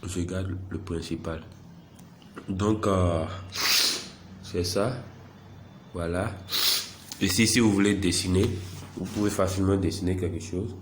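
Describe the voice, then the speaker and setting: Deep-voiced guy with a cold, slow flat delivery, talking through a screen tutorial, faint hiss behind. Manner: unhurried, self-deprecating, narrates each click. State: stuffy head cold. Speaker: software tutorial presenter. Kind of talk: screen-recorded app tutorial.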